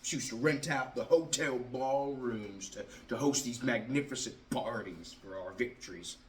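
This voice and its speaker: A man performing a stage monologue as a bitter, drunken Southern ex-athlete confronting his father, halting and repeating his words. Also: mid-range voice, natural voice. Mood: wistful, bitter. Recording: roomy, distant mic, faint noise.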